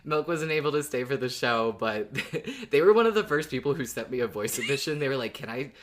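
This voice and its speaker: Giggly woman with a very low voice. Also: sing-song, a little fast. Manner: giggly, laughs often while talking. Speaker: podcast co-host. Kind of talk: casual chat.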